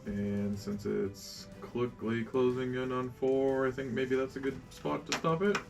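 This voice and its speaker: A man narrating as game master of a tabletop roleplaying game, explaining things in a casual, halting way. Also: medium pitch, steady tone, vocal fry, soft background music.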